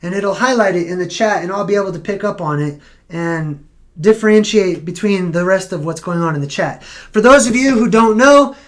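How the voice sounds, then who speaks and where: A man's voice: sits high, moderate pace. A young man hosting a casual live stream, losing his voice, rambling and correcting himself as he talks. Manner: rambling, self-correcting, conversational.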